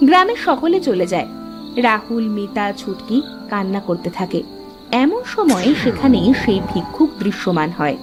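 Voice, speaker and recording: A woman narrating a scripted fairy tale for an animated cartoon. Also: medium pitch, moderate pace, over music.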